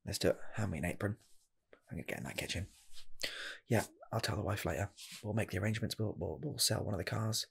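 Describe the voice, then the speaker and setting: A man playing an earnest rocket scientist applying for a fast food job, in a soft, half-whispered ASMR roleplay voice that rambles and restarts. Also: fairly low pitch, vocal fry, husky, exaggerated voice. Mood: delighted. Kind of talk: ASMR personal attention roleplay.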